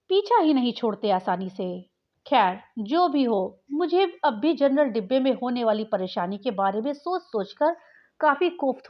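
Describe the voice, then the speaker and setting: A woman narrating a scripted short story in an expressive, dramatic storytelling manner. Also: on the high side. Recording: dull, lo-fi sound.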